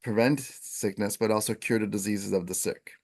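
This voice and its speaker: A man who teaches Ayurveda, explaining in a relaxed, conversational way with plenty of fillers. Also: on the low side, moderate pace. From Italian first language. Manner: relaxed, filler-heavy, chants Sanskrit verses.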